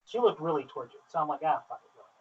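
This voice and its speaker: A man interviewing casually and telling stories in an informal, sweary, excitable way, on a flawed low-quality recording. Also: rapid-fire, a little hiss or hum. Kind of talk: casual chat, animated anecdotes. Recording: distant, muffled lo-fi, technical faults.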